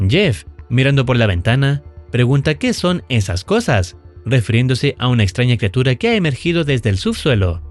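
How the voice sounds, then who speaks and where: Man, scripted recap narration, lively intonation, explanatory, measured delivery, faint music behind. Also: medium pitch. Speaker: narrator of video recap and analysis. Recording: music under the voice. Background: background music.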